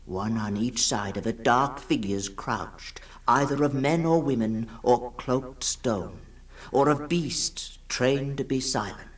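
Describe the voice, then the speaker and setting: A man giving a solemn, dramatic literary reading in the style of 1960s radio, swinging between hushed and ringing tones. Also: medium pitch, slow pace. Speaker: storyteller, reader. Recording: 1960s radio production with music behind. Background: music.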